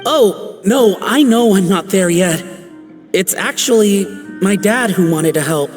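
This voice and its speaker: A man's voice: high voice, expressive, measured pace. An actor voicing an earnest, polite young man worried about his father. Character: eager, modest young man, a son. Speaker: video game character. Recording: reverberant game audio with music.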